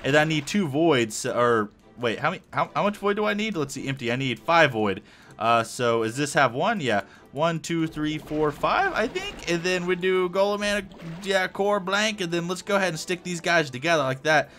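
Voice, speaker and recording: Young male let's-player, bright and animated, a little hoarse, rambling commentary. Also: on the high side, normal speed, faint music behind. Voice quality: hoarse-sounding. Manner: rambling, thinking aloud with many fillers. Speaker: Minecraft let's play host. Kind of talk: casual gameplay commentary.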